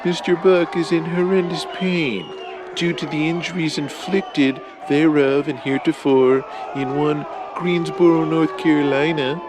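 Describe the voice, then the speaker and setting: A man's voice: on the high side, natural voice. A man playing a pompous, sleazy lawyer spouting florid legal jargon in a wrestling audio drama, with noise behind him.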